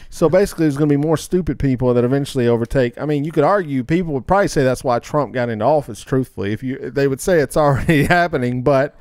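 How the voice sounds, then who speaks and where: A man chats casually as a podcast co-host, sharing opinions at length in a rambling way. His pitch is average for a man, and the sound is dry with almost no room. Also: fast, few pauses, a little mellow, dry recording.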